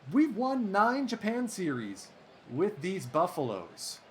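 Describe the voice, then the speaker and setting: A man doing play-by-play commentary over a baseball sim game, excitable and chatty, drifting into asides. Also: high voice, slow, slight background noise. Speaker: let's play host.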